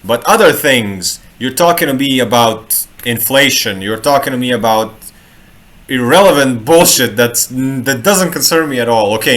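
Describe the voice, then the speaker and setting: A man's voice: mid-range voice, a bit bright. A man from Croatia, talking in a loose, rambling way about human design, often restarting words and adding playful asides. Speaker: human design reader and street artist. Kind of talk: casual live talk. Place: home room in Sweden.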